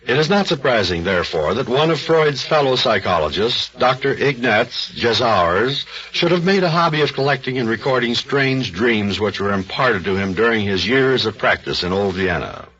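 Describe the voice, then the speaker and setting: A man introducing an old-time radio story in a formal, deliberate, emphatic scripted style. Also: mid-range voice, animated, pushed and bright. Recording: dull old radio recording.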